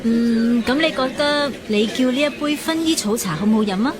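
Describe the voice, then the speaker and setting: Casting: woman as chatty young female friend, gently mocking, higher-pitched, radio drama, crowd noise behind. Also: normal speed, lightly acted. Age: young adult. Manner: teasing, chatty. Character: teasing, sensible young female student friend. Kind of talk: Cantonese radio drama acting.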